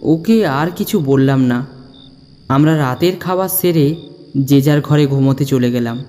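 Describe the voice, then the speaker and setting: A man's voice: mid-range voice, measured pace, mellow, lightly acted. A man playing a frightened, curious young man who narrates a ghost story with suspenseful, dramatic delivery. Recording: long reverb, music underneath.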